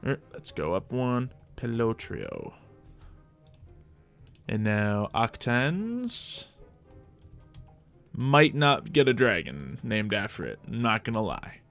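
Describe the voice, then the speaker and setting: A man's voice: on the low side, wildly swooping pitch, takes it slowly, gravelly, pauses often, a bit bright. A man hosting a gaming series, thinking aloud in light roleplay with mock-archaic, formal fantasy phrasing. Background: music.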